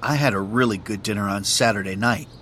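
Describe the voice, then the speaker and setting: A man who announces the tracks and reads the lines of a course dialogue in a slow, careful manner. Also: on the low side, a bit bright, a little hiss or hum. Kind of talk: scripted textbook audio reading.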